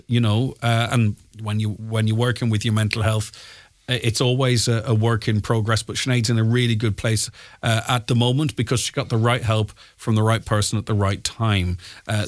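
A man's voice: fairly low pitch, dry recording. Male radio host, conversational phone-in patter, lots of "uh"s, close dry studio mic. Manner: conversational, with frequent fillers and restarts. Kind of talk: radio phone-in talk, reading listener messages. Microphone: studio microphone.

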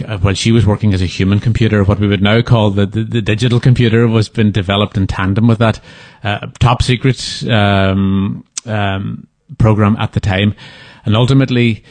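A man talks in a fairly low voice, stopping and restarting often as he recalls details. The sound is close and dry, with little room around it. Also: a little mellow, no room sound. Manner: hesitant, many restarts and fillers. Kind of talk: casual interview answers.

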